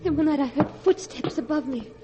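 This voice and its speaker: A woman's voice: sits high, fairly quick, dark tone, highs cut off, faint music behind, lightly acted. A young woman playing a fearful, haunted heiress, earnest and melodramatic, in 1940s radio horror acting. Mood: haunted narration. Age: young adult. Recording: noisy 1940s radio recording.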